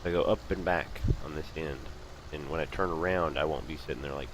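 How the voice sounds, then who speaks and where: A man's voice: very deep voice, fairly quick. A man in casual, rambling commentary while playing a farming game, talking in a laid-back way with lots of fillers. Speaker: gaming channel host. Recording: clear background noise.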